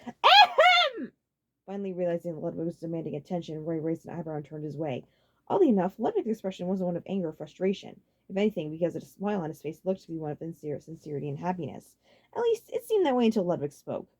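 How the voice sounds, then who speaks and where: Woman audiobook narrator, expressive storytelling, quick pace, lowish mellow voice, animated pitch. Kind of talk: dramatic scripted narration.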